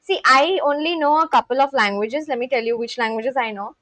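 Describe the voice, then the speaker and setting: Young bengali woman with an indian english accent, high voice, dry close sound, rambly and apologetic, lots of ums. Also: fairly quick, fairly bright tone, no room sound. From Kolkata, West Bengal, India. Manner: chatty and self-deprecating, many fillers. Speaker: livestreamer. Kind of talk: casual livestream chat, reading comments aloud.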